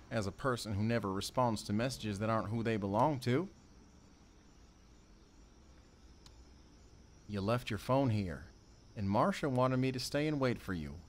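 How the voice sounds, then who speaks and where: A man playing a sardonic, wounded ex-convict with a soft heart in an emotional audio drama. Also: medium pitch, natural voice. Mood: wry, reproachful.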